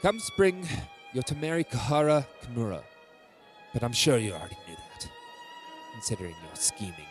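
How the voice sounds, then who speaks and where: A man's voice: medium pitch, moderate pace, natural voice. A man acting as a cold, domineering middle-aged king in a fantasy audio drama, commanding and contemptuous. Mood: mocking. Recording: music behind the voice.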